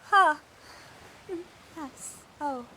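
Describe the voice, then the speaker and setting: Young acolyte girl, very high bright voice, devout, flustered, effusive, fantasy audio drama, faint background noise. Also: extremely slow, heavily acted, low-quality recording. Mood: reverent, apologetic. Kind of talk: scripted fantasy audio roleplay.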